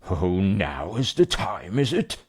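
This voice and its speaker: A man voicing a gruff, thundering grown mountain troll who is stern but caring, in a bedtime story. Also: big pitch swings, slow pace, full character voice. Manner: booming, thundering.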